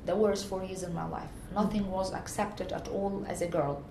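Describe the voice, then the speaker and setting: A woman's voice: on the low side, moderate pace. An Egyptian woman speaking English with an Egyptian accent, earnestly recounting her experiences in a conversational interview and often restarting to find her words. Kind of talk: conversational personal account. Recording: echoey room with faint ambient noise.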